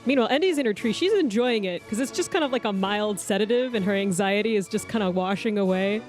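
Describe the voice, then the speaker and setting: A woman's voice: mid-range voice, quick pace, a little mellow, soft background music. A woman chatting casually as a player in a tabletop role-playing game. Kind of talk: casual chat.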